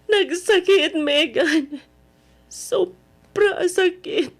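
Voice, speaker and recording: A woman's voice: extremely slow, full character voice. A young woman acting a heartbroken, betrayed girlfriend in a radio drama, with a tearful, pleading, melodramatic delivery. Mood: despairing. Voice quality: tearful, very high voice.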